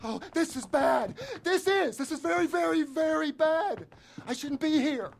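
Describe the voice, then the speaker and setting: A man's voice: extremely high pitch, expressive, a little slow, gravelly, slight background noise, exaggerated voice. A man playing a jittery, fawning criminal henchman in a video game, rambling nervously and correcting himself as he goes. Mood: panicky. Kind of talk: video game cutscene acting.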